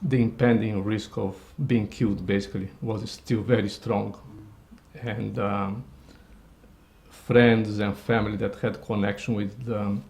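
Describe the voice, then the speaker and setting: A man in his sixties, telling a personal family story with hesitant pauses and fillers. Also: fairly low pitch, flat delivery, gravelly, a little mellow. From Brazil. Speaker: interviewee.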